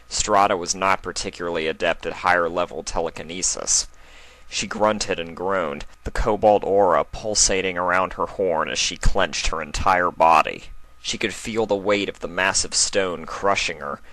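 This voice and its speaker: A man's voice: sits low, sharp tone. A man reading a fantasy story aloud as its narrator, in a dramatic scripted style. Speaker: fan fiction narrator.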